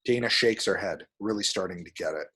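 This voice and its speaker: A man with a husky voice narrating action descriptions at a screenplay table read. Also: deep voice, fairly quick, bright, forceful tone.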